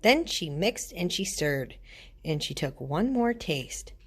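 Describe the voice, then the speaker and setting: Slow, very animated woman reading a storybook. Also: sits low, husky. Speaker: storybook reader. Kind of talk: expressive children's read-aloud.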